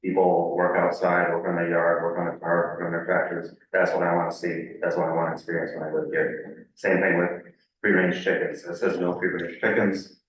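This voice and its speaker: An American man giving informal, plain-spoken public comment as a resident at a town meeting, repeating himself and halting a little. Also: low voice, completely flat delivery. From Connecticut, United States. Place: indoor public meeting room. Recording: very echoey meeting room, distant muffled mic.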